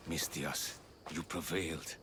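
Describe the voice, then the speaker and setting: Grave, very deep-voiced refugee leader. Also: male voice, steady tone, pushed and bright, a little hiss or hum, full character voice. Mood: cautious, purposeful. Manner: formal, deliberate, serious. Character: grave, cautious male refugee leader of ancient Greece. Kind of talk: video game cutscene acting.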